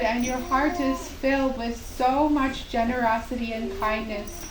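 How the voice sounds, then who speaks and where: Woman playing a sweet, slow-spoken kindly quilt maker. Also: fairly high pitch. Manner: sweet, measured, tender. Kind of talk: children's puppet show storytelling. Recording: echoey, distant mic, faint hiss.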